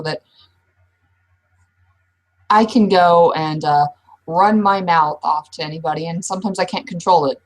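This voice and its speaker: A woman playing a guarded, mouthy werewolf woman who talks bluntly and haltingly in an improvised roleplay. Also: fairly low pitch, poor audio. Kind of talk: improvised in-character roleplay dialogue.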